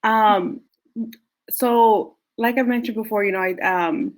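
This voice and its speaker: A woman in her twenties whose first language is Spanish, speaking earnestly on a panel with many pauses and "um"s. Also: medium pitch, rough recording. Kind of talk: panel discussion.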